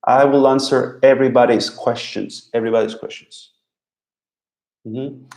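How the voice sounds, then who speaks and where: A man with a Russian accent hosting a live stream as a language teacher, speaking slowly and haltingly and repeating his words as he talks. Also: medium pitch, fairly even intonation, vocal fry, darkish tone. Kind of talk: live stream talk answering viewers. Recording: echoey room.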